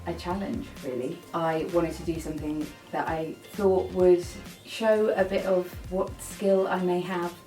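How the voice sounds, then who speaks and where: Low-voiced woman from East London. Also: fairly even intonation, unhurried, hardly any pauses, warm tone, distant mic. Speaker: audition finalist. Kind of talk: casual interview. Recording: roomy, off-mic, faint music.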